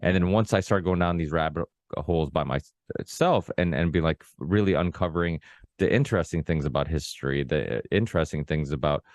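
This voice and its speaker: A man's voice: a bass voice, fairly quick, no room sound. A Portuguese-born man of about forty, a native Portuguese speaker, hosting a casual podcast conversation and rambling with many restarts. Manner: rambling, full of restarts and "you know, like". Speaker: podcast host. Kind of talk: casual long-form conversation.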